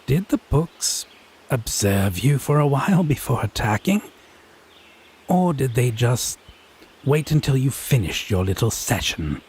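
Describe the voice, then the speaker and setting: A man playing a haughty, teasing, possessive fae in a scripted audio roleplay, speaking in wry, theatrical, deliberate phrases. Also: medium pitch, sing-song, darkish tone, slightly rough, exaggerated voice. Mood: wry, mocking.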